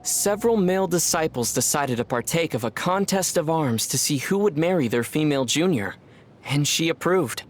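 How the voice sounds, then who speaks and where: A man voicing a calm, thoughtful young traveler hero in a video game, speaking in a measured way. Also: a little high, close to an everyday voice.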